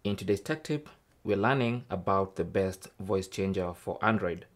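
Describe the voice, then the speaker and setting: A man's voice: low voice, moderate pace. A man hosting an informal tech tutorial, explaining things casually step by step. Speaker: host of a tech tips show.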